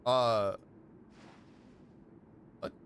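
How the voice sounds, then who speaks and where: A man's voice: drawn-out words, fairly bright tone, a little hiss or hum. A man streaming a video game, giving casual reaction commentary.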